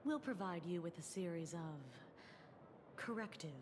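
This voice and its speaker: A woman voicing a composed adult female defense officer in a game, speaking in a measured, formal way over game music. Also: lowish voice, lively intonation, background noise, close to an everyday voice. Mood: clinical. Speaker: game character. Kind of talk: dubbed game dialogue.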